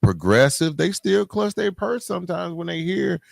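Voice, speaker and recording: A man hosting a podcast solo, talking informally and conversationally, thinking aloud in a halting way. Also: high voice, sing-song, creaky, dry recording, studio quality. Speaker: podcast host.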